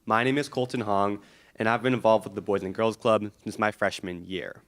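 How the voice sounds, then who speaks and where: A teenage boy giving a prepared speech at a school board meeting, earnest and measured. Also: on the low side, steady tone. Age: teens. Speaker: student speaker.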